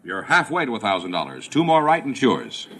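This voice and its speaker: Lively male 1950s radio quiz announcer. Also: medium pitch, fairly quick. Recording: very noisy old radio, loud audience.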